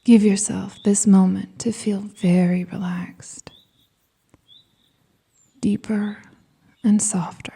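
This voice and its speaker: A woman with a soft, breathy, whispery voice guiding a scripted meditation in a soothing, gentle manner with deliberate pauses. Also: medium pitch, flat delivery. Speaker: hypnosis and meditation guide.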